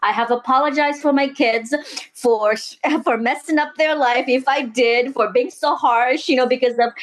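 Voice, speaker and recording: Reflective woman. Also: fairly high pitch. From Mozambique. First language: Portuguese. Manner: earnest, reflective, frequent restarts and "you know". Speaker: guest. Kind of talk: candid interview conversation.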